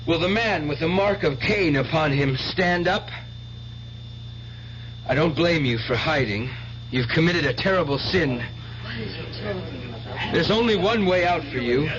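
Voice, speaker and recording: Actor as a high-pitched fanatical stage mind reader. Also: male voice, measured pace, pauses often, a crowd in the background, close to an everyday voice. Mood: menacing, righteous. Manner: theatrical, declamatory showman. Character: fanatical stage mind reader, tormented, pious, self-righteous killer. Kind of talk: radio drama acting. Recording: dull noisy old radio broadcast with hum.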